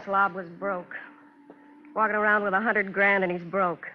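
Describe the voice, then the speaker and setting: A woman's voice: medium pitch, flat delivery, moderate pace, natural voice. Hard-boiled American dame on noisy old radio, acted by a woman. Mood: bitter, cynical. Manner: tough, slangy, scornful. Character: hard-boiled greedy young wife cornered by police, cynical and defiant. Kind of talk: 1950s radio crime drama acting. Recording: noisy, muffled old radio broadcast with clicks.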